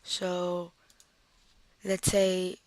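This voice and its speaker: Slow Italian woman with a cold. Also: lowish voice, monotone, darkish tone. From Italy. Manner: unhurried, thinking aloud while clicking. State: has a cold. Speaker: software tutorial presenter. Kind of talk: screen-recorded software tutorial.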